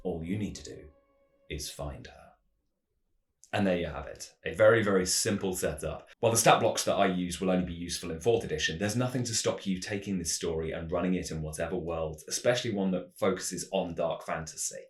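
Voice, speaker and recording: A man with a very deep voice narrates as the host of a tabletop gaming channel. His delivery is measured and storyteller-like, explaining in scripted fashion. The recording has a clearly roomy echo.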